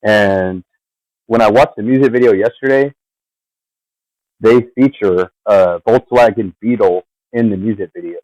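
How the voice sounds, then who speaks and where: A man's voice: lowish voice, measured pace, darkish tone, no echo, rough recording. A man rambling casually at his computer, drifting off and filling gaps with fillers as he talks over his own video. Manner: meandering, trails off, many fillers. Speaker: vlogger.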